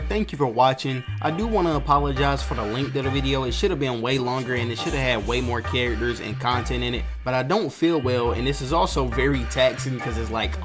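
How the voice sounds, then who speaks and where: A man delivering scripted comedic commentary as a video essay host, with slangy, profane, mocking delivery. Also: mid-range voice. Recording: music behind the voice.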